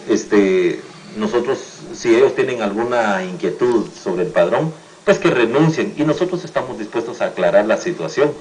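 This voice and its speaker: Low-voiced Guatemalan man, formal and deliberate. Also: slight background noise, poor audio. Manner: formal, deliberate, legalistic wording. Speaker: teacher and union spokesman, interview guest. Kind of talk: political commentary in an interview.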